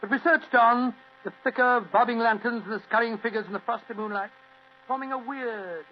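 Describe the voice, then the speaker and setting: Blustering high-voiced English gentleman doctor. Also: fairly quick. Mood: grave. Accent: British. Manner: avuncular, chuckling, stammering bluster. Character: genial, blustering older English gentleman doctor, loyal sidekick. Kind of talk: vintage detective radio play acting. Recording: dull, hissy old radio recording with hum.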